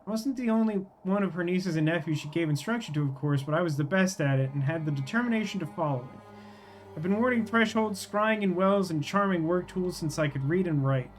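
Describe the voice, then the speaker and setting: A man reading the game dialogue of an earnest apprentice of folk magic in a measured, formal way. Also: high-pitched, animated, low-quality recording. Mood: earnest, proud.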